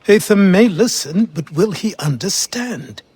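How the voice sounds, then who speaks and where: An actor playing a weary, wise old mentor with a husky voice and a grave, probing manner in a game cutscene. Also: male voice, high-pitched, lively intonation, measured pace, a little mellow, dry recording, full character voice. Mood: sceptical. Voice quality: husky, rasping. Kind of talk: video game cutscene acting.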